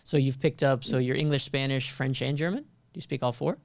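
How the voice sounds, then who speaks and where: A man's voice: mid-range voice, fairly even intonation, a little fast. A man with an American accent hosting a casual remote interview, asking questions in a conversational way. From United States. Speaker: interview show host.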